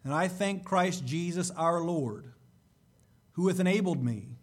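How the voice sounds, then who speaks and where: High-pitched, slightly hoarse male preacher. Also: lively intonation, unhurried. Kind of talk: sermon with scripture reading.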